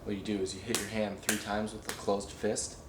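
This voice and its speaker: A man's voice: fairly low pitch, little pitch movement, fairly quick. A young man on a road trip, chatting casually with a wry, easygoing manner. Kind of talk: casual chat. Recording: echoey, noisy street audio.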